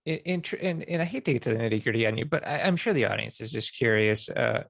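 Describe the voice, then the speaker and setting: A man's voice: mid-range voice, animated, fast talker, creaky, fairly bright tone, no echo, clean studio sound. A man hosting a casual podcast interview, asking questions in an affirming, encouraging way.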